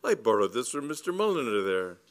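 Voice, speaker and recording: A man's voice: mid-range voice, very animated, brisk, lightly acted. A man voicing a big, self-assured explorer in a comic story, unruffled and blunt, hearty yet suspicious. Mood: surprised.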